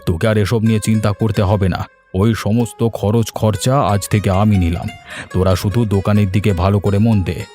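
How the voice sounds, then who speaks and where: A man's voice: lowish voice, steady tone, normal speed, warm tone, soft background music, lightly acted. A man voicing a blunt, authoritative village headman in a cartoon. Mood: pleased, hearty. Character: stern, bossy male village headman who loves food. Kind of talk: Bengali cartoon voice acting.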